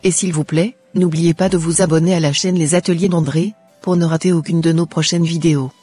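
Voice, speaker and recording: A woman's voice: lowish voice, steady tone, brisk, dark tone, breathy edge, dry recording, faint music behind. A woman presenting a scripted outro as a channel host.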